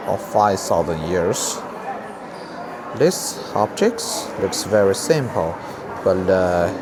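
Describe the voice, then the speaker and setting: A man from China with a Chinese accent narrates an informal museum tour vlog in halting, simple non-native English. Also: lowish voice. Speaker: vlogger giving a museum tour. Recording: clear background noise, on-location ambience.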